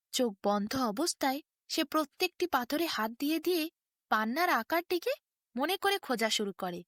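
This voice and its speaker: A woman's voice: a little high, a bit bright, no echo, clean studio sound. A woman narrating a bedtime fairy tale in a sing-song storytelling manner. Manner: sing-song storytelling, quoting figures in her own voice.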